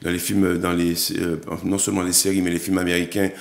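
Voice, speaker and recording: A man with a low, gravelly voice, talking haltingly as a guest on a TV interview. He repeats words and restarts often. The room around him sounds echoey. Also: completely flat delivery. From Martinique. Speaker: actor and director, guest.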